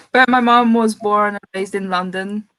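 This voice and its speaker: A woman's voice: mid-range voice, fairly even intonation, takes it slowly, bright, forceful tone, rough recording. A woman in her twenties from Greece, a guest chatting casually and laughing often. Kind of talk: casual chat.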